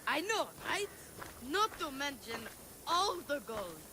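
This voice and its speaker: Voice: high-pitched, very animated, takes it slowly, long reverb tail, background noise, heavily acted. An actor playing a mischievous young boy who has known grief, in video game cutscene acting. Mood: cheeky, playful. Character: mischievous grieving young boy, light-fingered.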